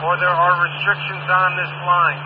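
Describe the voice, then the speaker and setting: Male radio voice, notably high, dulled by scanner audio, police exchanges. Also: level tone, normal speed, phone-quality sound, noisy background. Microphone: two-way radio.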